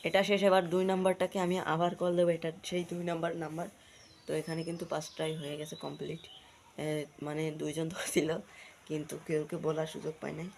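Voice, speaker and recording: A man's voice: on the high side, level tone, a little fast, vocal fry, a little mellow, slight background noise. A man with a Bangladeshi accent chatting informally to viewers as a video host, with repetitive running commentary. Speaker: prank-call video host. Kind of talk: casual vlog chat to viewers.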